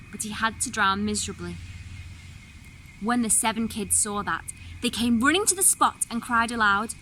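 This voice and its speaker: A woman's voice: on the high side, pushed and bright, slight background noise, poor audio. A woman narrating a fairy tale expressively, with dramatic, varied emphasis. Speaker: story reader.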